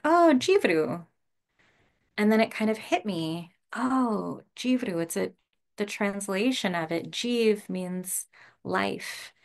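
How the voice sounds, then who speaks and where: Woman, meditation teacher, casual talk, a little gravelly, easy laughs, lots of ums, video call. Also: fairly low pitch. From Canada. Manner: relaxed, laughs often, many fillers.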